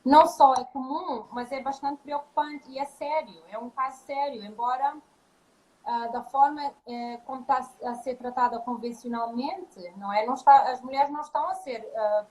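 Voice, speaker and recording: A woman from Mozambique with speech, a naturopathic doctor talking over a live video chat, often restarting and filling pauses. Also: a little high, a little mellow, low-quality recording. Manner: hesitant, many restarts and fillers. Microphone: video call.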